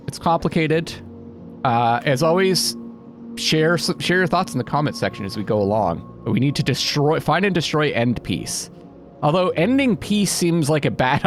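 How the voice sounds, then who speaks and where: A man giving casual gaming commentary, thinking aloud, questioning himself and reading out bits of on-screen text. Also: a little high. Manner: musing, often questioning himself. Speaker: let's play host. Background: game music.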